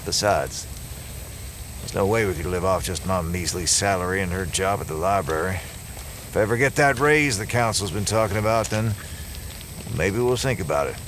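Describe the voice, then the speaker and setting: An actor playing a gruff, steady small-town American sheriff with a plain-spoken, folksy manner in an audio drama. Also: male voice, sits low, moderate pace, a little hiss or hum. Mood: wry, grumbling. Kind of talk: full-cast audio drama acting. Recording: music bed under the dialogue.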